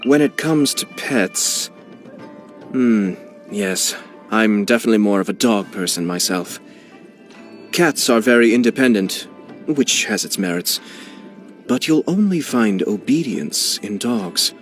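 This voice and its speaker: A poised, quietly scheming young nobleman from a video game, in a somewhat low, smooth register. He speaks in a measured, courteous way that hints at private amusement. Soft game music plays faintly behind him. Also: lively intonation. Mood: composed. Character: young male clan head, genteel, scheming, composed. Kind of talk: video game character voice lines.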